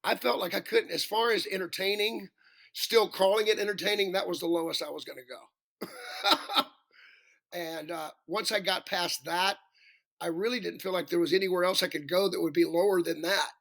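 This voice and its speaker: Raspy guy talking high and bright, rambly, keeps restarting. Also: normal speed. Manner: rambling, self-deprecating, many restarts. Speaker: guest singer. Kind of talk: casual interview chat.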